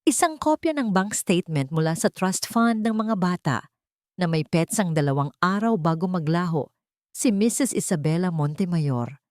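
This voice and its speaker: A woman plays a relentless male attorney in an audio drama. The voice sits slightly low and is recorded very dry, with deliberate, formal, pressing delivery. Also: expressive, a little mellow, no echo, natural voice. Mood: stern, pressing. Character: stern, relentless male attorney cross-examining a witness.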